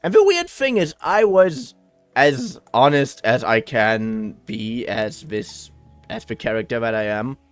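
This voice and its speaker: A man's voice: medium pitch, sing-song, unhurried, smooth, faint music behind. A man livestreaming a video game with casual, theatrical commentary, emphatic and given to casual swearing. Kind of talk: casual let's-play commentary with dramatic read-aloud.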